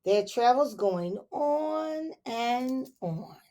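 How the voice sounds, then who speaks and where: A woman teacher reads a picture book aloud to young children at a slow, careful pace. Her voice sits a little high, and she lingers over the words with expressive storytime phrasing. Now and then she drops into soft asides to her listeners. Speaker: elementary school teacher.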